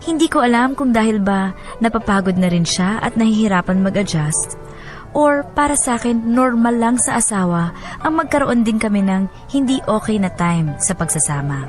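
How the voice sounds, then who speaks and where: A woman's voice: fairly high pitch, expressive, moderate pace, natural voice. Woman acting a confiding wife, music underneath. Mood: rueful, confiding. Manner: reflective, confiding. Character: wife reflectively telling her bittersweet marriage story. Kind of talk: scripted first-person radio drama narration.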